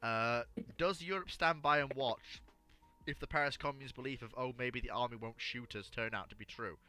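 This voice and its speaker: A man's voice: mid-range voice, normal speed, bright, forceful tone, soft background music. A man hosting a casual history discussion, often stammering and repeating words as he thinks aloud. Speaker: livestream host answering listener questions.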